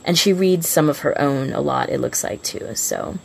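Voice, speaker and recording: A woman's voice: low-pitched, moderate pace, vocal fry, a little mellow, a little hiss or hum. A woman co-hosting a podcast in casual, unscripted chat. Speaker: podcast co-host.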